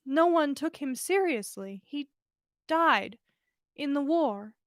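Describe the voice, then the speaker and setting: Woman acting dreamy young woman, very high voice, solemn, earnest, softly romantic, storybook, dry close sound. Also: animated, slow, dry recording, heavily acted. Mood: wistful, earnest. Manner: solemn, utterly honest. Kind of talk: fan fiction story reading.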